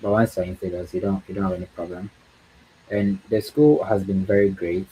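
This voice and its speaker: A man's voice: low-pitched, a little fast, mellow, slight background noise, low-quality recording. A man from Ghana with a Ghanaian accent, speaking as a guest on a livestream talk, earnest and encouraging, often repeating words for emphasis.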